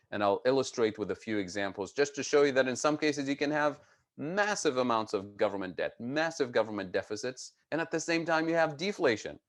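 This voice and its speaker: A man from Tunisia giving an explanatory economics presentation, speaking deliberately and emphatically and repeating phrases for stress. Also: on the low side. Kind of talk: explanatory lecture with slides.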